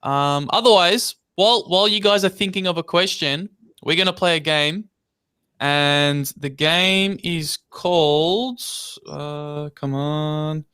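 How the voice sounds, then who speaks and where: A man hosting a live podcast, chatting casually and relaxed, with frequent fillers. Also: on the high side, slow pace, clear, resonant tone, no room sound, clean studio sound. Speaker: podcast host.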